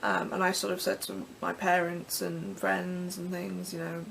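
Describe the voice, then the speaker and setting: A young Englishwoman with a croaky throat, rambling casually through vlog questions from her bedroom with plenty of ums and restarts. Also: on the low side, flat delivery, measured pace, fairly bright tone, slight background noise, poor audio. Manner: chatty, self-deprecating, many ums and restarts. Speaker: pagan vlogger. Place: bedroom in front of her altar.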